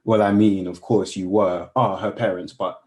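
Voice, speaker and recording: An actor playing an earnest, polite young family friend in a radio play, speaking in a hesitant, apologetic, wistful way. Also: male voice, sits low, flat delivery, moderate pace, natural voice. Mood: awkward, sincere. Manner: hesitant, apologetic, soft-spoken.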